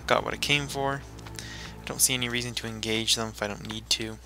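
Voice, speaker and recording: Guy rambling to himself while gaming, mid pitched bright voice, some music behind him. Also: steady tone, fairly quick. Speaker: gaming video host. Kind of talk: casual let's play commentary.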